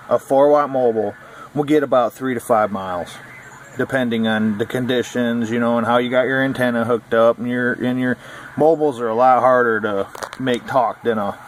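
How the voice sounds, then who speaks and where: A man of ordinary pitch talks through a hobby topic in an off-the-cuff, rambling way, circling back and repeating points with plenty of fillers. A faint hum of a vehicle or ambience sits under the voice. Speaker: CB radio hobbyist vlogger. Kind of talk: casual explainer talk.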